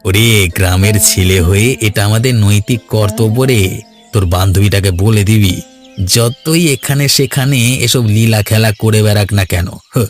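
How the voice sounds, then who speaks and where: A man voices a jealous young village busybody in a cartoon. The voice is low, with a boastful, pushy, taunting delivery over background music. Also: normal speed, lightly acted. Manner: taunting, pushy, punctuated with questioning grunts. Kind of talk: cartoon voice acting.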